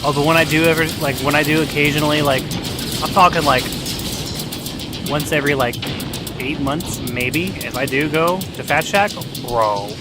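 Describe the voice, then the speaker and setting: A man's voice: a little high, moderate pace, loud music behind the voice. A young man chatting casually while streaming a game, rambling with lots of fillers and joking about food and drinking. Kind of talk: casual gaming stream chat.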